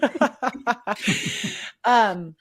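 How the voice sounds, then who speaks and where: A woman's voice: on the high side, expressive, drawn-out words. A woman hosting a casual panel, teasing herself playfully before asking a question. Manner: self-deprecating, playful. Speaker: host.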